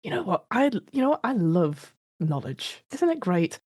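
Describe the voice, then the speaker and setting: A woman's voice: medium pitch, sing-song, moderate pace, a little mellow, slightly rough, no room sound, clean studio sound. A woman playing a nosy, flustered investigator in an improvised tabletop roleplay, hesitant and rambling as she breezily bluffs. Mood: flustered, bluffing.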